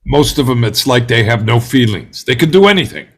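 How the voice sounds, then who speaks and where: An actor playing an angry, bigoted juror in a play read-through, ranting in a colloquial, hectoring way and growing desperate. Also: male voice, mid-range voice, lively intonation, a little fast, close to an everyday voice. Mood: agitated, insistent. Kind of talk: dramatic table read of a stage play.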